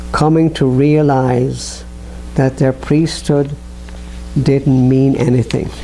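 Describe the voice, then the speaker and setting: A man hosting an evangelical program, speaking in an unhurried, earnest way with fillers and restarts. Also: mid-range voice, dark tone, a little hiss or hum, poor audio. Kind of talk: slow unscripted radio-style talk.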